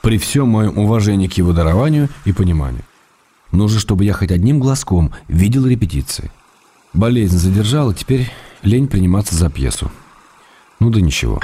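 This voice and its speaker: Guy doing a sick writer penning love letters, deep mellow voice, dry teasing, radio play. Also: animated, slight background noise, close to an everyday voice. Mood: tender. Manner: tender, wry, unhurried. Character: ailing male writer writing tender letters to his wife. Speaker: actor reading letters.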